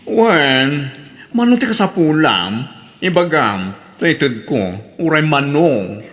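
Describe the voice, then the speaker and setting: Radio drama role: agreeable, generous cousin, slow delivery, swinging intonation, long reverb. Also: male voice, a little high, very animated, a bit bright, heavily acted. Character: easygoing, generous male cousin who lends money. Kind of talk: radio drama acting in Ilocano.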